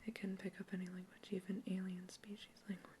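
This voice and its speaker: Young woman, ASMR whisper, flat and mellow, calm researcher asking questions. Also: mid-range voice, fast, slight background noise. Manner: clinical, gentle interviewer. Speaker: ASMR creator. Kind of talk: whispered sci-fi roleplay.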